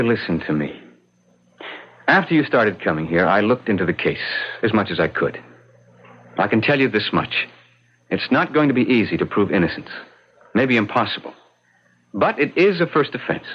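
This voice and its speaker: An actor playing a weary, courteous criminal lawyer who reasons patiently and reluctantly in an old-time radio drama. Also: male voice, on the low side, very animated, husky. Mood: apologetic, patient. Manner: measured, polite, reasoning. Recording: dull lo-fi old radio recording.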